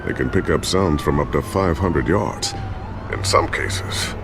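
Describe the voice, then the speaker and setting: An actor playing a calm, helpful gadget inventor in a video game, explaining things in a measured way. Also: male voice, extremely low pitch, over music.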